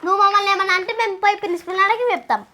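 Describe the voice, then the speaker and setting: A young girl acting in a comedy skit as a bossy class leader, scolding her classmates with quick retorts. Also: extremely high pitch, fairly even intonation, pushed and bright, a little hiss or hum.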